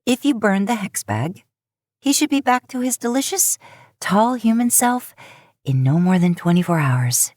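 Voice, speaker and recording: A woman's voice: medium pitch, expressive, unhurried, darkish tone, dry recording, clean studio sound, voice pushed up. A woman voicing a chirpy, teasing witch in a fan fiction audiobook, playful and unrepentant. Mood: playful, smug. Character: chirpy, teasing, mischievous adult female witch. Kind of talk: podfic character voice.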